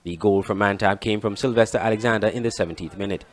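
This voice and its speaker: A man's voice: lowish voice, fairly even intonation, fast talker. A man reading scripted sports news as a newsreader. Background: music bed.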